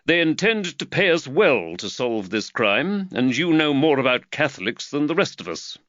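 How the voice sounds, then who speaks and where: A man voicing an earnest middle-aged London magistrate who lectures with energy, higher and louder than his own voice. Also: moderate pace, no echo, highs cut off. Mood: animated. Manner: lively, preachy, warms to his theme.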